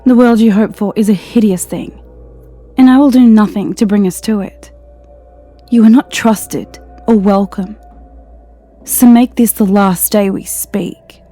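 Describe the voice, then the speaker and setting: Casting: woman as a resolute royal princess, formal and firm, mellow low-lit tone, music underneath. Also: unhurried, natural voice. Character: ancient sun princess, weary and resolute.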